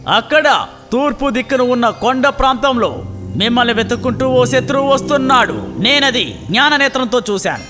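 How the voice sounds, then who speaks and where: A man's voice: very high-pitched, very animated, moderate pace. A man voicing a sly cartoon cat who poses as a holy sage, grandly reassuring and falsely saintly. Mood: warning. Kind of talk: children's cartoon dialogue. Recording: long reverb, clear music behind.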